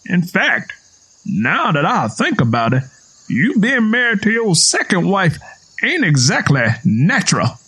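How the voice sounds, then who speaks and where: Man voicing a high-pitched, taunting Southern man. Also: huge pitch swings, a little slow, bright, forceful tone, slightly rough, full character voice, poor audio. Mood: smug. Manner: folksy, taunting, argumentative.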